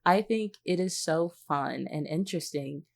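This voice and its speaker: A woman's voice: sits low, normal speed, gravelly. A woman chatting on a podcast in an informal, reflective, analytical way, often padding her points with "like". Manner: informal, reflective, hedging with frequent 'like'. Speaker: voice actor, podcast guest. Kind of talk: casual analytical podcast chat.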